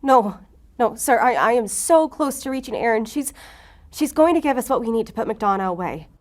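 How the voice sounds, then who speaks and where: A woman's voice: fairly high pitch, lively intonation, moderate pace, natural voice. An actress playing an earnest, determined female agent in an audio drama, pleading and pressing. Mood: flustered pleading. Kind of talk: audio fiction drama acting.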